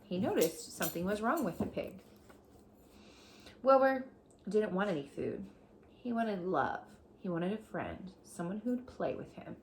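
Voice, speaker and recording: A woman reading a children's storybook aloud as a teacher, deliberate and explanatory as she addresses young listeners. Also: mid-range voice, animated, a little mellow, a little hiss or hum.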